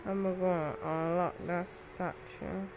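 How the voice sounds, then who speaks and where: Creaky-voiced game streamer muttering casually. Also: mid-range voice, level tone, unhurried, music in the background, low-quality recording. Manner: slangy, talking to self. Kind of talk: casual muttered gameplay commentary.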